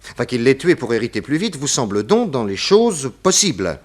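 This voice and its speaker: Old french radio detective, quick businesslike questions, bit wry, matter of fact. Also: male voice, medium pitch, big pitch swings. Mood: probing. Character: brisk, wry male police detective, patient and matter-of-fact. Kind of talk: 1960s French radio crime drama acting.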